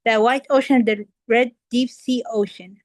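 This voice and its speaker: A woman's voice: a little high, steady tone, normal speed, no room sound, studio quality. A young woman from Kuwait giving an earnest impromptu speech that addresses the audience directly. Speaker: impromptu speaker. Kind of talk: impromptu table topics speech.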